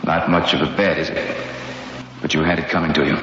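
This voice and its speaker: An actor playing a gruff male jailer who taunts a prisoner, in a 1950s radio drama. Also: a bass voice, flat delivery, a little fast, noisy background. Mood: mocking. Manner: mocking, sneering. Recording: dull roomy old radio broadcast.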